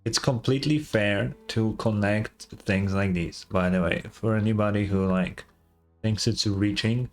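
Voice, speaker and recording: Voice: low-pitched, fairly even intonation, unhurried. A game streamer giving casual live commentary in an informal, rambling way, often repeating words. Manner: informal, rambling, hesitant repetitions. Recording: game music behind the voice. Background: game music.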